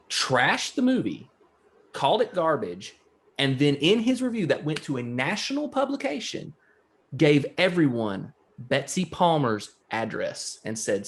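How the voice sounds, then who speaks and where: A young man with a low voice talks through a movie in loose, rambling podcast chat. His pitch swings widely, and he is blunt and quick to joke. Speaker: podcast co-host.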